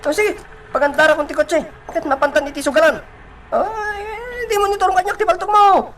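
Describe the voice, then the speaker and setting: Cheeky henchman sidekick, Filipino accent, high voice, comic radio drama, noisy background. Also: steady tone, a little fast, fairly bright tone. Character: high-voiced, mischievous, booze-loving male henchman sidekick.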